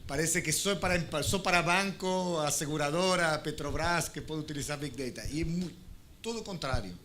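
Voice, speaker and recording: Argentine male panelist, Spanish-accented, higher voice, halting delivery. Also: a bit bright, slight background noise. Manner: halting, many restarts and fillers. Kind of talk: conference panel talk.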